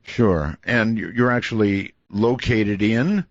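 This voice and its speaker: A man's voice: on the low side, slow, dry recording. Older man hosting late-night radio. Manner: relaxed, wry, conversational. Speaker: radio talk show host. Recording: dull lo-fi radio broadcast.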